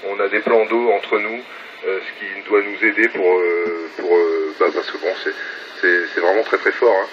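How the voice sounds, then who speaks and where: Casting: male radio hobbyist, lowish voice, keen and repetitive, informal on-air chat, noisy muffled phone capture. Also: steady tone, moderate pace. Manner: enthusiastic, repeats words for emphasis. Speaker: amateur radio operator filming his station. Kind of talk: casual radio contact chat. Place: at home beside radio set. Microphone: phone filming, off the mouth. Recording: dull, very noisy phone video.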